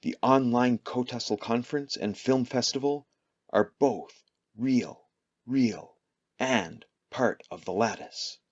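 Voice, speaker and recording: A slow, husky-voiced hero acted by a man speaks with halting emphasis, like someone awed by his own destiny. It is radio-play acting, recorded a little dull, with music playing underneath. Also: mid-range voice. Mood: solemn, earnest. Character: Neil, awestruck hero coming to believe he is the One.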